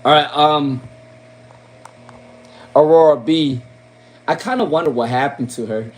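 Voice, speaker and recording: A man's voice: fairly high pitch, measured pace, rough recording. A man with a Long Island accent giving a casual live-stream tarot reading. He repeats names and questions often, says "um" a lot, and ends his points with "okay?" and "all right?". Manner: casual, chatty, lots of "um". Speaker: tarot reader.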